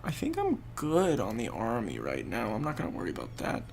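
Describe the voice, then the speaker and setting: Young male gaming host, congested and gravelly, rambling play-by-play. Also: on the low side, wildly swooping pitch, brisk, slight background noise. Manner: rambling, thinking aloud while playing. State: congested, losing his voice. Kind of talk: casual gameplay commentary.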